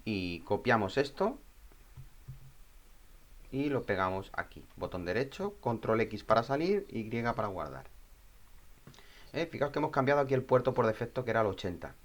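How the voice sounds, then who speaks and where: A man presenting an informal step-by-step software tutorial, thinking aloud and often checking in with short questions. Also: medium pitch, sing-song, normal speed, pauses often.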